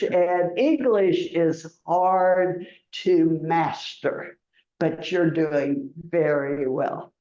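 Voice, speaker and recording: Woman teacher, Oklahoma accent, very slow and patient delivery, roomy muffled classroom recording. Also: on the low side, warm tone. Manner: patient, repeats words for learners, laughs often. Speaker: English and citizenship teacher. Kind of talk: classroom language lesson.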